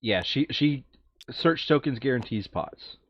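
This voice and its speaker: A man's voice: medium pitch, animated, measured pace, lo-fi sound. A man chatting casually as a player planning a board game session, thinking aloud and repeating his phrases.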